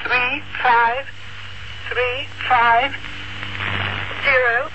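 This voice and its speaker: A woman reading out in a detached, monotone numbers-station style on a crackly shortwave broadcast. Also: a little high, very slow, husky, highs cut off. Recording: crackly band-limited shortwave radio broadcast.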